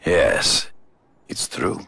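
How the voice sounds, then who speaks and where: An actor playing a proud, honor-obsessed hunter villain in a video game, gravelly, formal and grandiose. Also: male voice, very slow, pushed and bright, dry recording. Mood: grave, compliant.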